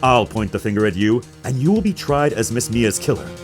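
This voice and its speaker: A man's voice: medium pitch, expressive, brisk, faint music behind, close to an everyday voice. A man voicing a pompous, manipulative businessman villain in a video game dub, with a theatrical, wordy delivery. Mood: haughty, threatening.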